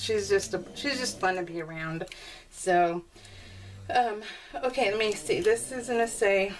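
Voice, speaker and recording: A middle-aged woman chatting casually and telling animated, giggly stories while she works on a scrapbooking project. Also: mid-range voice, poor audio. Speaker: scrapbooking vlogger. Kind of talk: casual storytelling while crafting.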